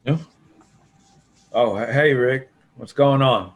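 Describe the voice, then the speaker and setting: A man's voice: mid-range voice, takes it slowly, close to an everyday voice. A man playing a persistent survivor who pines for his friend's wife, coaxing and casual, in an online table read. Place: video call. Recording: noisy online video call.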